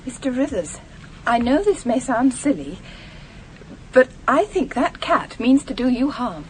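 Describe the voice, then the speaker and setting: A high-voiced, emotional young woman. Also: very animated, a little hiss or hum, rough recording. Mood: insistent. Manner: emotional, quick to upset and snappish. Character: sensitive, strong-willed young woman on a tour. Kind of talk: 1970s radio drama acting.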